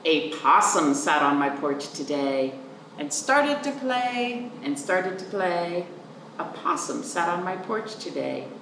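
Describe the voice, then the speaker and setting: A woman reading aloud to young children in an expressive, sing-song way, drawing out words and speaking simply and clearly for them. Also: fairly low pitch, slow pace. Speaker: children's librarian, storytime reader. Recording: roomy echo, distant mic, faint noise.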